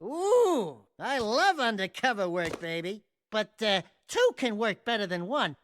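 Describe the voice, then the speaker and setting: High-pitched cheeky cartoon rat sidekick. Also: male voice, very animated, measured pace, a bit bright, no echo, exaggerated voice. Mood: flirty showing off. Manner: brash, mouthy wisecracking. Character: cheeky small talking rat-like sidekick, male, excitable wisecracker. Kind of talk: animated video game acting.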